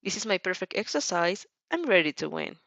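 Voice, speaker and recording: A woman's voice: lowish voice, sing-song, brisk, vocal fry, a bit bright, dry recording, exaggerated voice. A woman voicing a cheeky, competitive young student hero with a playful, challenging manner.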